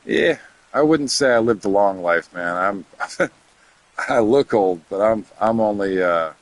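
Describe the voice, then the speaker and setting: A man's voice: fairly low pitch, expressive, measured pace, no room sound, rough recording. A man in his thirties chatting casually to his viewers while he plays a game, rambling from topic to topic and muttering to himself as he works through menus. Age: 30s. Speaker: game streamer.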